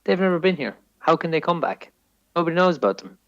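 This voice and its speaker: A man's voice: a little high, sing-song, fast, no echo, muffled recording. A man co-hosting a comedy podcast over a remote call, chatting casually in an emphatic, mock-serious way. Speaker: podcast co-host.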